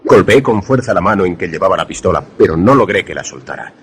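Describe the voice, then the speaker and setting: An actor playing a wary, grave protagonist who narrates a radio suspense drama formally. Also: male voice, low-pitched, lively intonation, fast talker, fairly bright tone, a little hiss or hum. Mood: tense. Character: wary male narrator-protagonist, secret lover in danger.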